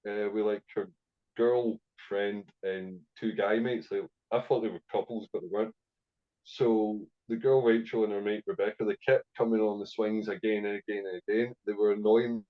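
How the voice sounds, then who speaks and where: A Scottish man on a podcast, his voice sitting a little low. He rambles through his stories with fillers and frequent swearing. The audio is somewhat muffled and lo-fi. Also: fairly even intonation, moderate pace. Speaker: podcast guest, actor. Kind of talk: casual storytelling interview.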